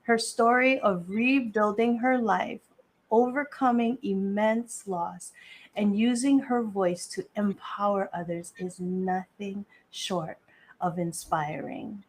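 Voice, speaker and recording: A woman from Hawaii hosting a live podcast, taking her time over every phrase. Her voice sits at an ordinary middle pitch, and her pacing stays slow and unhurried throughout. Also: breathy edge, poor audio. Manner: measured, deliberate delivery with a scripted-sounding welcome. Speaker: podcast host.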